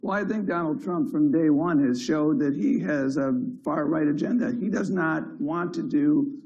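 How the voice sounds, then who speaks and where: A hoarse man who has lost his voice, answering a debate question quickly and emphatically with many fillers. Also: fairly high pitch, darkish tone, muffled recording. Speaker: debate candidate.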